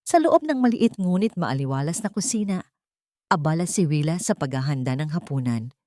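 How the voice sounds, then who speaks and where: A woman's voice: low voice, animated, moderate pace, warm tone, no echo, studio quality. A woman with a Filipino accent reading a scripted romantic drama story as its narrator.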